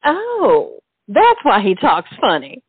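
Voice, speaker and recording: Blunt comedy busybody played by a woman, lively pitch swings, stage delivery, dry tinny sound. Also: slow pace, slightly rough, no echo, full character voice. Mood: curious. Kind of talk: comic stage play acting. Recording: muffled, distant, phone-like stage recording.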